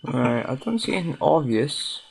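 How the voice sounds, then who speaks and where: A man's voice: a little high, sing-song, normal speed, creaky, slightly rough. A man giving casual, unscripted hands-on commentary as he tinkers, hesitantly thinking aloud. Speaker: hobbyist restorer hosting a video. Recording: noisy home recording with clicks.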